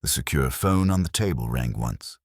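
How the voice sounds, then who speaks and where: A man reading a scripted, dramatic first-person story in a measured, deliberate manner. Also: a bass voice, lively intonation, no echo, studio quality. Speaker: story narrator.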